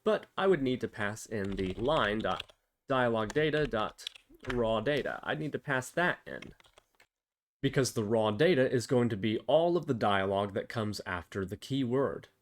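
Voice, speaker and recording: A man presenting a casual step-by-step programming tutorial, explaining and thinking aloud as he demonstrates. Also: lowish voice.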